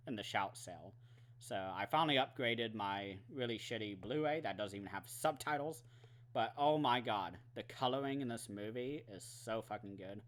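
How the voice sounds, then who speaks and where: A man's voice: fairly low pitch, fairly bright tone. A man chatting casually in a monthly movie vlog, rambling with many fillers and some swearing, his throat dry and his voice going. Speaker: movie vlogger. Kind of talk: casual monthly wrap-up chat.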